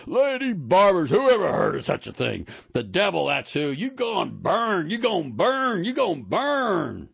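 A man voicing a fiery street preacher who rants and condemns in a shrill voice. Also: wildly swooping pitch, normal speed, vocal fry, few pauses, husky, no echo, lo-fi sound, exaggerated voice. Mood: ranting.

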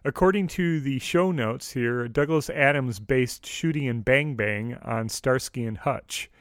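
An American man with a medium-pitched voice co-hosts a podcast discussion. He speaks casually yet in a measured, informative way. The recording is very dry, with no room sound. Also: no echo. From United States. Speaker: podcast co-host. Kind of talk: casual discussion of a radio drama.

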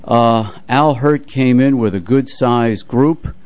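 An older man reminiscing in casual radio chat as a co-host, with a measured, halting delivery. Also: mid-range voice, darkish tone. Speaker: radio co-host and music presenter.

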